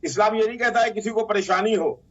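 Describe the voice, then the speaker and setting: Male cleric panelist, husky and quick, insistent repetition, slightly lo-fi sound. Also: sits high. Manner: insistent, repeats phrases. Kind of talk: argumentative debate talk.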